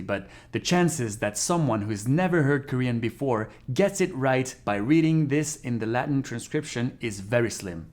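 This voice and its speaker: Man, non-native English speaker, casual explanatory tone, frank, mid-pitched voice. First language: not English. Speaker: language teacher YouTuber. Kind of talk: explanatory video lesson.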